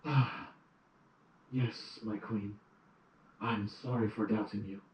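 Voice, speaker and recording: A man's voice: fairly low pitch, steady tone, slow pace. A man playing a timid, doubting soldier of an evil queen in an audio drama, hesitant and apologetic. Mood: nervous, doubting. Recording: roomy, distant playback with faint noise.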